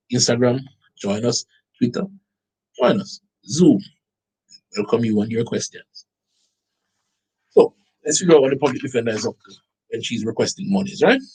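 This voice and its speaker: A man with a Jamaican accent hosting an online show, reading a government budget aloud and breaking off into incredulous, animated remarks to his viewers. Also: mid-range voice, husky, rough recording. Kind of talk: commentary reading out a document.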